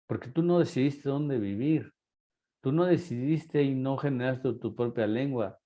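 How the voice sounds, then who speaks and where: A man's voice: mid-range voice, a little slow, warm tone. A man with a Mexican accent, lecturing a class and asking rhetorical questions. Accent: Mexican Spanish. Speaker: teacher.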